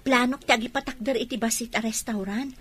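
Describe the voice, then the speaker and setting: An actress playing an ambitious, devoted young daughter in an Ilocano Filipino radio drama. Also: female voice, on the high side, steady tone, a little fast, breathy edge. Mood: determined, hopeful. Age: young adult.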